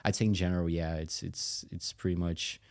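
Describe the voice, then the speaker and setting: A man from Brazil with a Brazilian accent, a native Portuguese speaker, talking casually in an interview and often pausing mid-phrase. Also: sits low, fairly even intonation, vocal fry. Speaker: podcast guest, company executive.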